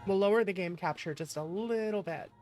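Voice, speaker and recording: A woman's voice: mid-range voice, fairly quick, a little mellow, faint music behind. A woman hosting a livestream in a casual, chatty and playful way. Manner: playful, chatty, thanks and greets viewers. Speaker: livestream host, VTuber.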